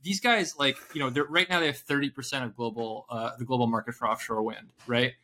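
Bright-sounding guy cohosting a money podcast, rambly, lots of likes and ums. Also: medium pitch, fairly even intonation, fairly quick, a little hiss or hum. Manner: rambling, lots of fillers and restarts, laughs a lot. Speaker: podcast co-host. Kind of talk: casual investing chat.

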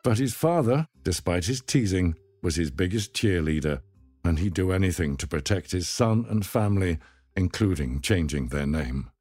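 A man reading a scripted documentary narration in a measured, dramatic storytelling manner. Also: low voice, animated, darkish tone, no echo, soft background music.

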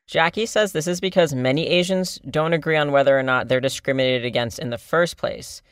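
A man hosting a podcast, explaining in a measured, conversational reporting style. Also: fairly high pitch, fairly bright tone, smooth, dry recording. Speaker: podcast host.

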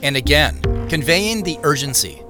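An American actor playing a reflective amateur investigator, narrating an audio drama in a measured, conversational way. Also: male voice, on the high side, lively intonation, over music, close to an everyday voice. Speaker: narrator and lead character.

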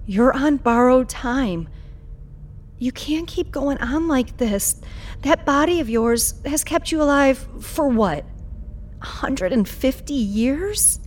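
An actress playing a blunt, world-weary parts dealer in a science-fiction audio drama, talking in a familiar way. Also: female voice, fairly high pitch, darkish tone, slight background noise.

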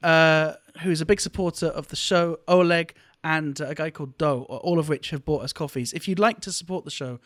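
A man co-hosting a casual podcast, telling stories in an animated, joking, mildly profane way. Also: on the high side, fairly quick, few pauses, no echo, clean studio sound. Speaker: podcast co-host.

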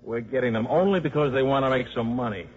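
A wisecracking, scheming coach played by a man with a medium-pitched voice and a brisk, sardonic, slangy delivery. It sounds like a muffled 1950s radio drama. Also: crowd noise, lightly acted. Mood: scheming, confident. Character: wisecracking, scheming college football coach and father.

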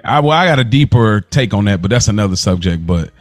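A man's voice: lowish voice, animated, quick pace, no room sound. An American man co-hosting a podcast, giving his opinions in casual slang and repeating words for emphasis. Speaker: podcast co-host.

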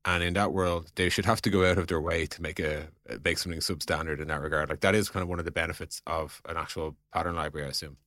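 A man's voice: low voice, steady tone, quick pace, few pauses, fairly bright tone, no echo, studio quality. A man co-hosting a business podcast, leading with questions and reasoning aloud in an informal, probing way. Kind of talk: casual business podcast conversation.

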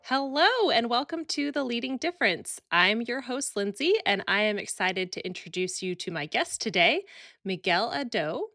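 An enthusiastic woman hosts an interview podcast in a bubbly voice. Her pitch swings lively up and down. The sound is dry and close, with faint music underneath. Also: moderate pace, no room sound. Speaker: podcast host.

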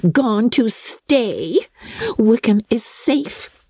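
A woman's voice: on the high side, lively intonation, extremely slow, mellow, husky, dry recording, studio quality, voice pushed up, heavily acted. A woman voicing a giddy, gossipy, careless teenage girl in an audiobook, gushing and chattering. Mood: gossipy, triumphant.

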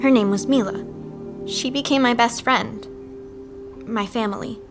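Teenage academy girl, guarded, hurt, earnest, higher voice, audio drama, music underneath. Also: a little slow. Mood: hurt, confiding. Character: guarded, wounded teenage academy girl recalling her lost friend.

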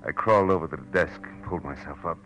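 World-weary American detective: very low, deadpan, sardonic, old radio drama sound with music under. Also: male voice, fast talker, highs cut off. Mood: groggy, grim. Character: tough, wry, world-weary male private eye. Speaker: lead actor and narrator. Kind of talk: hard-boiled 1940s radio detective acting.

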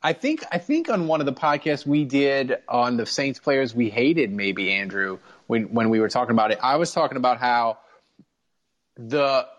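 A man hosting a sports podcast, telling stories as an excitable, casual fan who swears freely. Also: mid-range voice, normal speed, dull audio. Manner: profane, excitable storytelling.

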